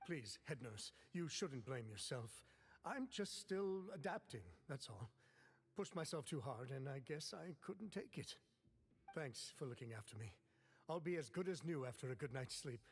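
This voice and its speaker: A man's voice: medium pitch, lively intonation, normal speed, close to an everyday voice. A man voicing a weary, overworked worker in a video game, hesitant and apologetic. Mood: apologetic, grateful. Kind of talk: video game character acting.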